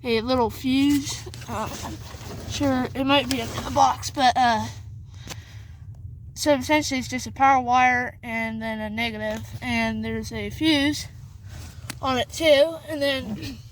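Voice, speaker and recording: High-voiced woman, halting how-to talk. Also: slight background noise, poor audio. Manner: halting, many fillers and repeated "essentially". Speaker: video host showing a vehicle setup. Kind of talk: casual how-to walkthrough. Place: in and around a pickup truck.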